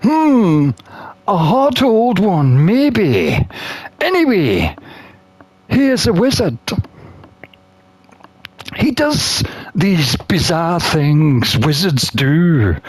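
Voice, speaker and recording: Gamer reading a story game aloud: slow pace, very varied pitch, dry sound. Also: very animated, darkish tone, husky, dry recording. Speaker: gamer playing and narrating. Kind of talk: reading a visual novel aloud, voicing characters.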